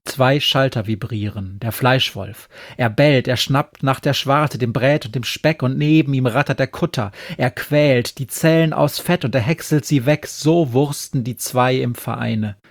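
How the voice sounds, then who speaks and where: A man recites poetry dramatically at a slow, deliberate pace. His medium-pitched voice is solemn and emphatic, recorded dry and close. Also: dry recording. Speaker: poet reading own poem.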